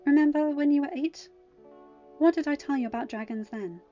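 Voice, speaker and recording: A woman voicing a gentle, perceptive mother goddess in an audiobook, probing with quiet skepticism. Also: sits high, a little fast, voice pushed up, natural voice. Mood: gently probing. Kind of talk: acted audiobook dialogue. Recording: dull lo-fi home recording, music underneath.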